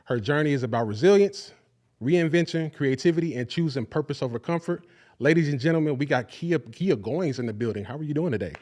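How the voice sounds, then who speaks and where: A man's voice: fairly high pitch, expressive, brisk, darkish tone. A man hosting a podcast, interviewing his guest in a casual, laid-back way and affirming her often with short replies. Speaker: podcast host.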